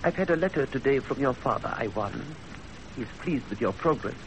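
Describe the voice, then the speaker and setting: An actor playing a stern older employer in a 1930s radio drama, speaking formally with measured authority. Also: male voice, mid-range voice, lively intonation, natural voice. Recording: faintly noisy 1930s radio recording.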